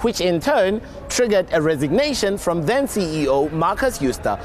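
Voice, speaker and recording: Young man, news presenter, high voice, lively pitch, outdoor street ambience. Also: moderate pace, noisy background. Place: outdoors by an office building entrance.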